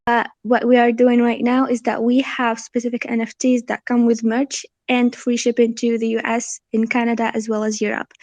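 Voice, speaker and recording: A nineteen-year-old woman, a guest creator giving an informal, polite and earnest pitch for a project. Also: a little mellow, no room sound.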